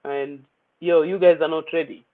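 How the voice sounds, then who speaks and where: Young man, playful host, slangy chatter, slightly high voice. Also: fairly even intonation, normal speed. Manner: chatty and playful, mixing English with Swahili slang. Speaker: host of an online poetry open mic. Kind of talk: casual livestream hosting. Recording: tinny phone-like audio.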